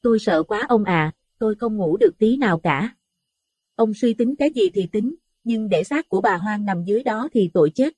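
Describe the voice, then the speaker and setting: Casting: a man doing a terrified wife, high and trembling, storybook horror. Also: animated, normal speed, darkish tone, husky, no room sound. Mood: fearful. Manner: trembling, pleading, panicky. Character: frightened middle-aged village wife.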